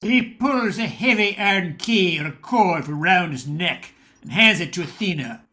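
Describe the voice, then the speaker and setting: A man's voice: high-pitched, animated, moderate pace, pushed and bright. A man reading scripted, dramatic narration for a radio play.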